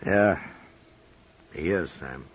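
An actor playing a steady, commanding frontier marshal in a 1950s radio drama. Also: male voice, low voice, very slow, heavy background noise. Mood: firm. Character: calm, firm frontier marshal, grown man.

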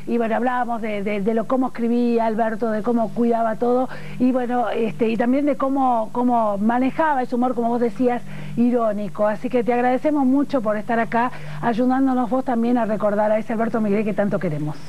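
A woman's voice: a little high, fairly even intonation, fairly quick, lo-fi sound. A middle-aged woman with an Argentine Rioplatense accent, hosting a conversational live studio interview on TV. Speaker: TV host. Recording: noisy old TV broadcast archive.

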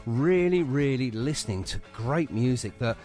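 A man hosting a casual music radio interview, chummy and quick to agree with his guest. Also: medium pitch, lively intonation, a little mellow. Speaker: radio show host, interviewer.

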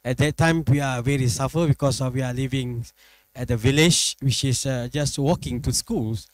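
A middle-aged man from Malaysia, a professor answering interview questions, speaking haltingly and restarting his phrases. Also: medium pitch, no room sound. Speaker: guest professor. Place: studio set.

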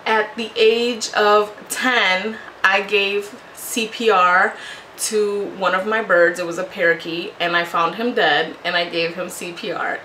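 Bright-voiced woman chatting in an echoey room. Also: medium pitch, fairly even intonation, measured pace. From Puerto Rico, moved to Connecticut as a child. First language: Spanish. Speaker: video blogger. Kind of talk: casual personal storytelling to camera. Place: small home room, indoors. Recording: echoey room, faint background noise.

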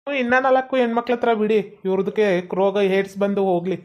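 Brief: a man as a brash, fast-talking guy mangling his Kannada, dull lo-fi sound. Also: high-pitched, lightly acted. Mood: arguing. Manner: odd broken phrasing, cheeky. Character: brash young man speaking broken Kannada. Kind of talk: comedy skit acting.

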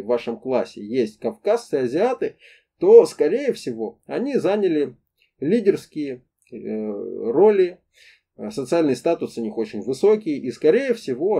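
Man, very soft dark timbre, lively intonation, didactic explanatory monologue, emphatic repetition. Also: medium pitch. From former Soviet country, moved to Russia as a child. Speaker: host of a pedagogy talk series. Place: indoor room against a plain wall.

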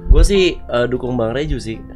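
A man's voice: mid-range voice, lively intonation, normal speed, darkish tone. A young man with an Indonesian accent chatting casually as a co-commentator on a live game stream. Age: young adult. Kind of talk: casual watch-along chat. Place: indoor streaming setup. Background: clear music in the background.

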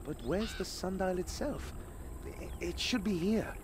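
A man playing an eager, adventurous young desert companion in a video game, with a dramatic, expressive delivery. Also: high voice, a little slow, background noise. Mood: curious. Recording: game music behind the voice. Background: background music.

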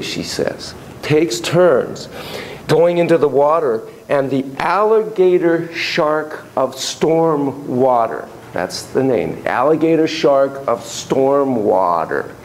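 An older man giving a chatty, animated university lecture in a classroom, heard from a camera at the back of the room. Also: on the high side, slight background noise, poor audio. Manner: animated, chatty, quoting clients in a raised voice. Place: classroom with students. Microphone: far camera at the back of the room.

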